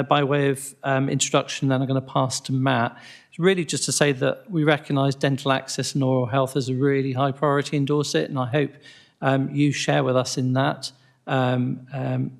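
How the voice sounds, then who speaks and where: Halting man presenting, flat creaky voice. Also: mid-range voice. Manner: measured, halting, with frequent ums and restarts. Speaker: NHS primary care commissioning lead presenting to a council committee. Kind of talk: formal presentation to a committee.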